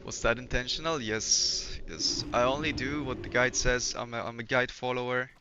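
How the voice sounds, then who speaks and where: A man's voice: mid-range voice, steady tone, moderate pace, background noise. A young man from Poland streaming a game, chatting casually with viewers, laid-back and swearing often. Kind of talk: casual live-stream chat while gaming. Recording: slightly dull lo-fi stream audio.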